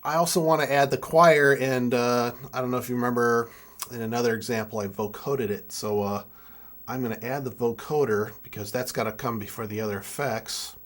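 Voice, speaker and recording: Young guy doing a casual how-to, medium pitch, kinda halting, thinking out loud as he goes. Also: steady tone. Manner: halting, thinking aloud while working. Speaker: music keyboard tutorial presenter. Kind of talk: casual hands-on software tutorial.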